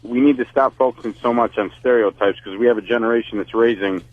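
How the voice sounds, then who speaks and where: A man's voice: mid-range voice, fairly even intonation, fast talker, no room sound, phone-quality sound. A man calling in to a radio show by phone, giving his opinion in a plain-spoken, earnest, conversational way. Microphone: phone call.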